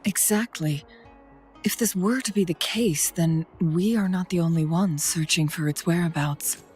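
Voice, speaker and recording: A composed female advisor from a video game, acted by a woman, with a fairly low voice. She speaks formally and deliberately, as a perceptive strategist would. Music plays behind her. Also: a little mellow, natural voice. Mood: composed, serious. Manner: measured, formal, articulate. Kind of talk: scripted video game dialogue.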